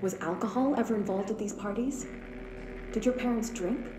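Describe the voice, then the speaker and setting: An actress playing a calm female interviewer who asks steady, probing questions about childhood. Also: mid-range voice, moderate pace, natural voice. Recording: echoey room with faint noise.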